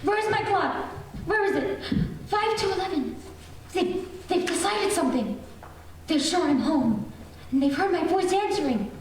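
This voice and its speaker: A woman's voice: extremely high pitch, lively intonation, unhurried, pauses often. A woman playing a nervous, bedridden, imperious wife in an old-time radio suspense play, agitated, demanding and repeating herself. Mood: panicky. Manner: demanding, agitated, repetitive, indignant. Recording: very echoey hall, distant mic, faint noise.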